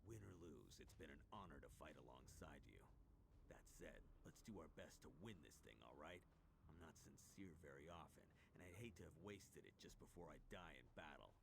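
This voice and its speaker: An actor playing a gruff, sardonic fantasy game fighter with a gravelly, dry delivery. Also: male voice, rumbling low, lively intonation, brisk, heavily acted. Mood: wry sincerity. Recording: clear ambient game noise behind.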